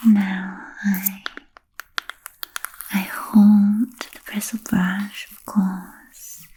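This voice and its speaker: A woman playing an ancient, refined, playfully macabre vampire in a whispered ASMR roleplay, speaking softly and formally with trailing pauses. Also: mid-range voice, little pitch movement, slight background noise. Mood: teasing, musing. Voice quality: soft breathy whisper. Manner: slow, deliberate, archly formal with trailing pauses.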